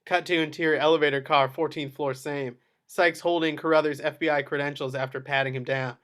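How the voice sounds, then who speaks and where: Slightly high-voiced man reading stage directions. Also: fairly even intonation, fairly quick, a bit bright. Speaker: action-description narrator.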